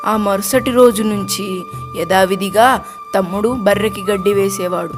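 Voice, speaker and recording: A woman narrating a scripted moral story for children. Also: medium pitch. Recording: music under the voice. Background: music.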